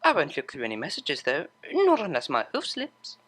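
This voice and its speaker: A narrator puts on a refined young cartoon mare with a bright, forward voice. She is prim and teasing, quick to sound exasperated, and her pitch moves about freely. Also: wildly swooping pitch. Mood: sly curiosity.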